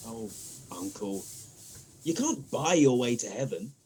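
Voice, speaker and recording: A man acting a cheerful, naive, excitable nephew in a comic radio play, gushing and exclamatory. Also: medium pitch, unhurried, a little hiss or hum, low-quality recording. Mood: jovial.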